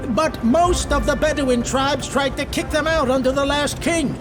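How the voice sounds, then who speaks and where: An actress playing a sharp-tongued young female war reporter in a video game cutscene, pointed and inquisitive. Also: high-pitched, normal speed, close to an everyday voice. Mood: explanatory. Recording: music behind the voice.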